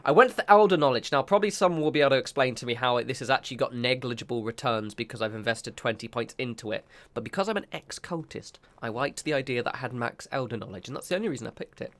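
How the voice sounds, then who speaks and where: A man's voice: mid-range voice, fast talker, hardly any pauses, a bit bright. Sniffly man rambling over gameplay. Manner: rambling, self-correcting, chatty asides. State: sniffly from a cold. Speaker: gaming channel host. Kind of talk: casual gameplay commentary.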